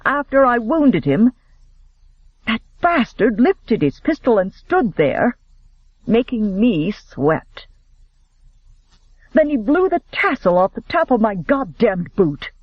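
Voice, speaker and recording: A proud young nobleman in a bitter fury, as a man acts him out. He snaps his words with a wide, lively pitch, in a dry and slightly dull recording. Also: unhurried, breathy edge, no echo, heavily acted. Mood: furious. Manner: snapping, bitter.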